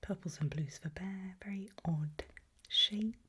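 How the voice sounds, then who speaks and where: Calm female doctor, played by a woman for ASMR roleplay: unhurried breathy whisper, lowish pitch, patient and reassuring. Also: slight background noise. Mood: gentle, reassuring. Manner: slow, gentle, reassuring. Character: calm reassuring female doctor running a creepy memory test.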